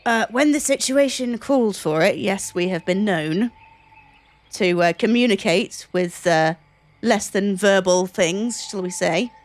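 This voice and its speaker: A woman's voice: medium pitch, expressive, a little slow. A woman answering as a player, hesitant and rambling.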